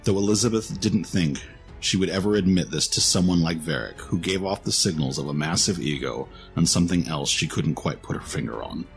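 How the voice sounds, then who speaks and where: A man's voice: sits low, brisk, faint music behind. A man narrating a scripted fantasy story in a dramatic storytelling style.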